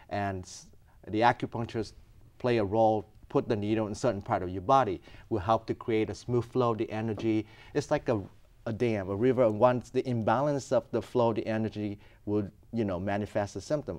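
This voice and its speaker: A man from Vietnam with a fairly low voice. He speaks earnestly and patiently, explaining his medical work point by point. His English has grammar. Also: darkish tone. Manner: earnest, explaining step by step, non-native grammar. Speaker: physician guest. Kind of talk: explanatory interview answers.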